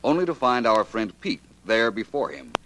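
An American man playing a shrewd, wry detective in a 1940s radio drama, speaking deliberately and with self-assurance. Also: mid-range voice, sing-song, noisy background, lightly acted. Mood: confident explaining. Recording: vintage 1940s radio recording.

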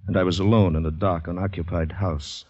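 An actor playing a panicky, polite, shell-shocked Canadian man who narrates his ordeal intensely and haltingly in a 1950s radio drama. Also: low-pitched, fairly even intonation, a little mellow, no echo. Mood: fearful, hushed. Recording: dull vintage radio recording, music behind.

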